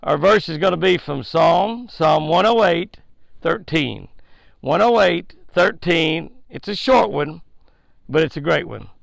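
A man's voice: on the high side, lively intonation, measured pace, creaky, dry recording. An older man hosts a daily devotional with a fishing tip, preaching in a folksy, emphatic way and repeating his points, recorded outdoors by a lake on a headset mic. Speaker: fishing show host.

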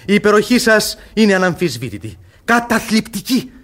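Courtly flattering young lover, plaintive flowery speech, radio play, bit high pitched. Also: male voice, heavily acted. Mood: feigned despair. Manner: ornate, plaintive, earnest. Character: lovestruck, cunning young gentleman suitor, courtly and flattering. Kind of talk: theatrical radio comedy acting.

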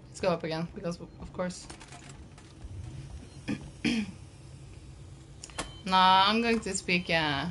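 A woman's voice: on the low side, slow pace, pauses often, a bit bright, slight background noise. A Norwegian woman with a Norwegian accent, chatting casually and swearing freely while she plays and answers her viewers. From Norway. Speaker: game streamer. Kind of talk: casual gaming commentary.

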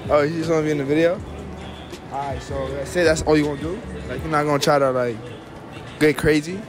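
Young male interviewer, slightly high voice. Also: steady tone, fast, music in the background. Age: young adult. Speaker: street interviewer. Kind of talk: casual slangy street interview. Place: large indoor shopping mall. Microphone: phone held in hand as mic.

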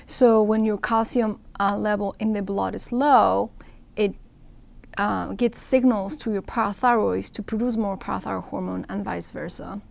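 Creaky-voiced woman from Ecuador explaining. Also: mid-range voice, fairly even intonation, normal speed, darkish tone. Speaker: interviewed surgeon. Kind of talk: explanatory medical interview. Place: indoor event room with banner backdrop.

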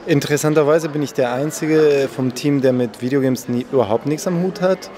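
Argentine-born man, calm and measured. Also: fairly high pitch, a crowd in the background. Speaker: conductor, festival guest. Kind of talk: interview answers. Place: indoor room at a festival. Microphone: handheld mic held by interviewer.

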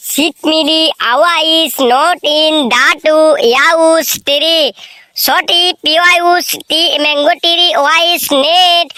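A high, bright cartoon schoolchild, played by a woman, cheeky and eager to show off. The delivery is unhurried and muddled, like a proud classroom recitation in a village comedy. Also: no echo, exaggerated voice. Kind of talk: comic cartoon skit dialogue and recitation.

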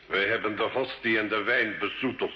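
Man playing a low-voiced cunning sorcerer, echoey. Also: fairly even intonation, close to an everyday voice. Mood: ritual incantation. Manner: solemn, measured, theatrical. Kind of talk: old Dutch radio drama acting. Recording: dull, echoey, hissy old radio recording.

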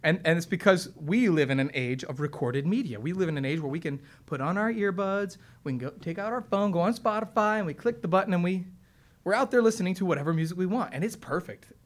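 A man's voice: sits high, expressive, fast. A man who sings opera talks casually and enthusiastically to an audience, explaining his craft with lots of fillers and restarts. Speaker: opera singer and presenter. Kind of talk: relaxed explanatory talk to an audience. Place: stage or studio with piano.

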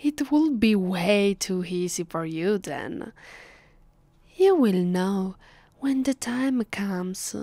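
Woman acting a slow Italian-accented vampire mistress. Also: medium pitch, expressive, dry recording. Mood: haughty, promising. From Italy. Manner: condescending, commanding, slow and hushed. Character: haughty, possessive female vampire mistress addressing her captive. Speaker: ASMR voice actress. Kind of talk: ASMR roleplay, slow, close, often whispered. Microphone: close microphone, dry.

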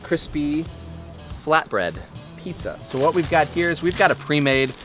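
A man's voice: fairly high pitch, animated, moderate pace, distant mic, over music. Man in his 30s, cooking show host, casual demo, playful self-mocking asides, recorded outdoors. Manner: jokey, self-deprecating banter. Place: outdoor griddle station.

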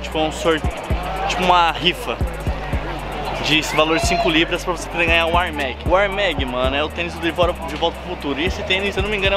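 A young man vlogging at an outdoor sneaker market, fast, joking and slangy, on a handheld camera. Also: fairly high pitch. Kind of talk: casual vlog chat. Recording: loud music and crowd behind.

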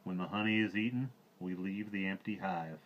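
A man's voice: deep voice, normal speed, lo-fi sound, slight background noise, natural voice. Man reading as Baloo, a solemn old bear. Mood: grave. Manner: grave, formal old-fashioned speech. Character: old wise male bear, teacher, solemn.

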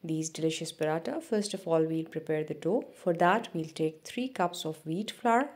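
Woman giving calm recipe instructions. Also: low-pitched, normal speed, slightly rough. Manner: steady step-by-step instructions with small restarts. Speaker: cooking channel host. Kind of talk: recipe voice-over narration.

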